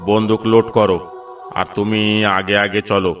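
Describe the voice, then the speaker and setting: A man's voice: lowish voice, flat delivery, moderate pace, distant mic, over music, lightly acted. A man voicing a commanding village police inspector in a cartoon, giving orders with authority. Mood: watchful, commanding. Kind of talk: cartoon voice acting.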